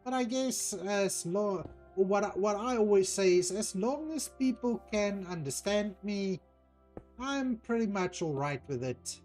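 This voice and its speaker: A man from South Africa with an Afrikaans accent, a native Afrikaans speaker, chatting casually as a streamer, unhurried and hesitant with many ums. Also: sits high, expressive, a little mellow, soft background music. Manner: unhurried, hesitant, with frequent ums while reading out chat. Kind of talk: casual chat while playing a game.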